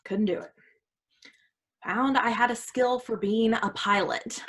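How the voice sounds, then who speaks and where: A woman's voice: on the high side, unhurried, fairly bright tone. An actress in a staged reading playing an evasive, indignant woman with a defensive, emphatic way of talking. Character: evasive woman, failed writer turned pilot, indignant.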